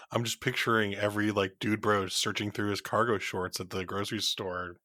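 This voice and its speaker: A man's voice: fairly low pitch, level tone, normal speed, sharp tone, no echo, clean studio sound. A man chatting casually as a player in a tabletop role-playing game. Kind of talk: casual chat.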